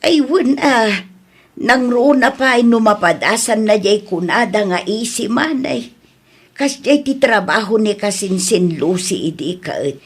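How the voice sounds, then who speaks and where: A woman's voice: mid-range voice, lively intonation, unhurried, slightly rough. A woman with an Ilocano Filipino accent playing a worried, reproachful village mother in an audio drama. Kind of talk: radio-style audio drama acting.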